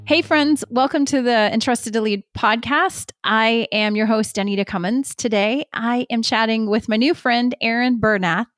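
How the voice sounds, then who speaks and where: Woman, podcast host, middle pitch, upbeat, encouraging, dry close sound, faint music behind. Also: normal speed, hardly any pauses, a bit bright, no echo. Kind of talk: scripted ad read and warm interview hosting.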